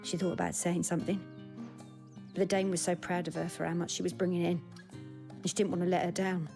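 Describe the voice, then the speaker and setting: Frank, gossipy British brothel girl in a game: lowish voice, earthy, blunt, music behind. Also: flat delivery, fairly quick, vocal fry. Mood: scornful, frank. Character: blunt, sardonic young working girl in a fantasy brothel. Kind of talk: video game cutscene acting.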